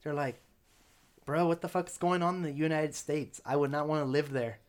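A man's voice: on the high side, normal speed. Laid-back young man chatting. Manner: relaxed, rambling, many fillers and restarts. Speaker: podcast guest. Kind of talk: casual podcast chat.

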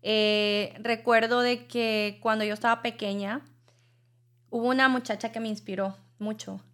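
A young woman from El Salvador, speaking softly and reflectively as a podcast guest telling her life story. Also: fairly high pitch, fairly even intonation, measured pace. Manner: soft-spoken, a little nervous, reflective. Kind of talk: personal storytelling in interview.

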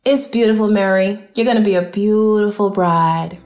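A woman's voice: medium pitch, moderate pace, a little mellow, soft background music, natural voice. A woman playing a supportive, admiring mother of a bride in an audio drama, recorded in an echoey room. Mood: approving.

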